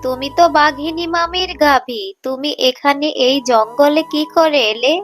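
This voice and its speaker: A woman voicing a kindly, caring mother fox in a cartoon, earnest and gentle. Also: sits high, measured pace, faint music behind. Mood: concerned. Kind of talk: cartoon voice acting.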